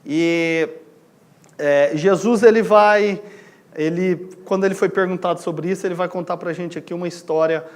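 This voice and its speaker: Brazilian guy preaching to a church, kinda high voice, chatty, keeps asking the congregation questions. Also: fairly even intonation, moderate pace. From Goiânia, Brazil. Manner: conversational, rhetorical questions, seeks the listeners' agreement. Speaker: missionary preacher. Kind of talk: sermon to a congregation.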